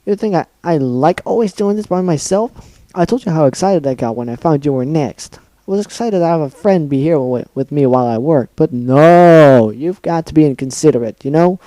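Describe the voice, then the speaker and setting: A man voicing a sadistic female cartoon pony who scolds her captive in a raised, shrill voice. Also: huge pitch swings, fairly quick, no echo, rough recording. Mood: ranting. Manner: shrill scolding, getting louder.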